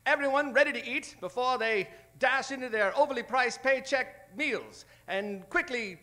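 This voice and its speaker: High, bombastic old-time newsreel announcer. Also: male voice, normal speed, bright, forceful tone. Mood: grandly upbeat. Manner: declamatory, over-emphatic. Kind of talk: parody 1940s newsreel narration.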